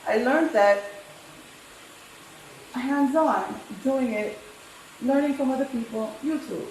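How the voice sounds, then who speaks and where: Opinionated woman cook with a New York-Jamaican accent, slightly high voice, echoey room. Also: takes it slowly, darkish tone, slight background noise. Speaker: cooking competitor. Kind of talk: casual chat while cooking. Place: rental apartment kitchen.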